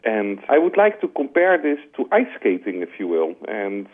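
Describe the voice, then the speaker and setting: A man's voice: lowish voice, big pitch swings, moderate pace. A man with a Dutch accent answering interview questions, explaining his points conversationally with analogies and "like" fillers. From Netherlands. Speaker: interview guest, corporate strategy executive. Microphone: telephone. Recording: tinny phone line.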